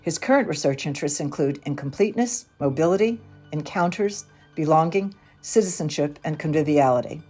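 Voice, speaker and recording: A woman announcer reading a scripted podcast introduction slowly and formally. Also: low-pitched, dark tone. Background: music.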